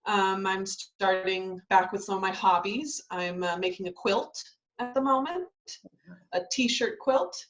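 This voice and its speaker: A young woman, a ballet dancer, chatting easily over a video call. She talks in a relaxed way, full of ums and restarts, at a middle pitch. The audio is slightly dull and lo-fi. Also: measured pace. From Italy, raised in Southern California.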